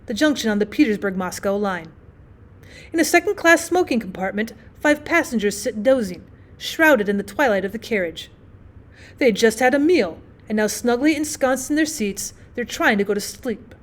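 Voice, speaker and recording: A woman's voice: on the high side, expressive, normal speed. A woman narrating a classic short story as a scripted audiobook reading. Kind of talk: scripted literary narration read aloud.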